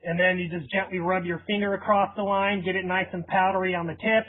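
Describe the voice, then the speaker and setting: A thin, phone-like recording carries a man acting as a casual, negligent parent in a prank call. The voice sits quite high. The delivery is deadpan, hesitant and rambling, with an easygoing, persuasive salesman's patter. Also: brisk, natural voice. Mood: nonchalant, instructive. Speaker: prank caller. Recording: thin phone-line radio-like sound.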